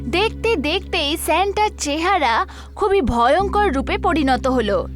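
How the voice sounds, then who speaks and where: A woman narrating a scripted horror story for an animated tale. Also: extremely high pitch, measured pace, soft background music.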